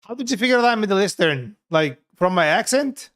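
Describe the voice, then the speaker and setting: A man's voice: high-pitched, animated, brisk, fairly bright tone. Turkish-accented man coding live, swearing. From Turkey. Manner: frank and profane, talks to chat while coding. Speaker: software developer streaming live coding. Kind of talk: casual live coding stream chat.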